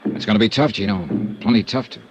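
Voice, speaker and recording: An actor playing a hardboiled American insurance investigator who narrates in first person and talks in a terse, matter-of-fact way, in a 1950s radio drama. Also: male voice, on the low side, fast talker, highs cut off, close to an everyday voice. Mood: steady. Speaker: narrator and lead detective. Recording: old radio transcription with music bed.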